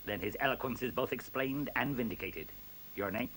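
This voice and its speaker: A man's voice: medium pitch, normal speed, fairly bright tone, lightly acted, rough recording. An actor in a radio play voicing a conceited male professor with a blunt, dry and precise manner. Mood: brusque. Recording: faint hiss on old radio recording.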